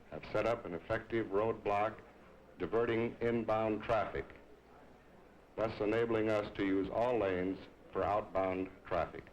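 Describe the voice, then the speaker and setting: A man's voice: fairly low pitch, rough recording. A man with an American accent delivers a formal status report as a police chief. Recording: old film sound, faint noise.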